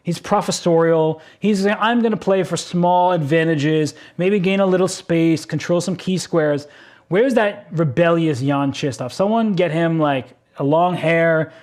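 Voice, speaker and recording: A man's voice: on the high side, darkish tone. A man commentating a blitz chess game, exclaiming at surprising moves and laughing easily. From United States.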